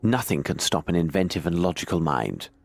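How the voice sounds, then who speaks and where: An actor playing a shrewd, self-assured Victorian detective with a British accent in an adventure game, speaking precisely and formally as if musing aloud. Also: male voice, low-pitched, very animated, brisk, vocal fry, no echo, heavily acted. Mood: musing, analytical. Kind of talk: scripted adventure game dialogue.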